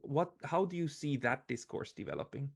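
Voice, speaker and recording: A man's voice: mid-range voice, very animated, moderate pace, creaky. A man asking questions as an interviewer in a conversational style.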